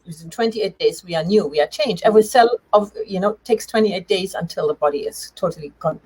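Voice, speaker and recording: A middle-aged woman with a German accent and a slightly husky voice, telling her personal story as an interview guest in a halting, emphatic way. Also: medium pitch, a little mellow, low-quality recording. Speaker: interview guest, change coach. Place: remote video call.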